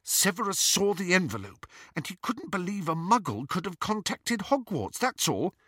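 A man's voice: high voice, sing-song, moderate pace, fairly bright tone, husky, no echo, clean studio sound, voice pushed up, exaggerated voice. Man doing a tearful pleading young girl. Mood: hurt.

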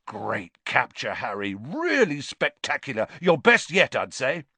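A proud young team captain, played by a man reading aloud heaps eager praise. It is a dry, close storybook reading with lively pitch. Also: unhurried, slightly rough, dry recording, exaggerated voice. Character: muddy young sports captain, proud and grinning.

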